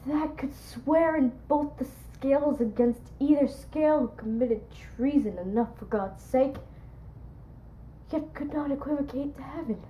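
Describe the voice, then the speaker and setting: A woman's voice: high-pitched, measured pace, dark tone, roomy sound, low-quality recording. A woman acting a grumbling, mocking male castle gatekeeper in a declamatory Shakespearean stage monologue. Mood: mocking, exasperated. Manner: declamatory, exclaiming, sardonic.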